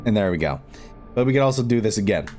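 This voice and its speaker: A man's voice: fairly low pitch, lively intonation, fast talker, warm tone, soft background music. A man giving a casual screen-recorded game modding tutorial, speaking informally in a rambling way and repeating himself. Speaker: video tutorial host.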